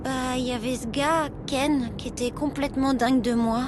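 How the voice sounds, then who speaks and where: A woman playing a talkative, ambitious sixteen-year-old schoolgirl in an animated series, speaking emphatically and colloquially. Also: high-pitched, moderate pace, background noise, lightly acted. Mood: amused, confiding.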